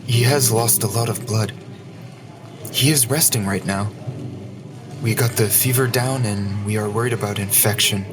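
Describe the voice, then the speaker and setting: A man's voice: lowish voice, moderate pace, pauses often, noisy background, close to an everyday voice. A man playing a grave, compassionate hospital doctor in an audio drama, speaking solemnly and with measure. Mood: grave, sympathetic. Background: music.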